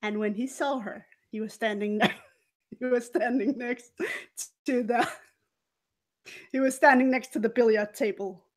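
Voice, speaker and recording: A woman telling a story in character as a haughty, scheming former cabaret dancer, theatrical and deliberate with dramatic pauses and swells. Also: a little high, fairly even intonation. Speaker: player in a murder mystery role-playing game.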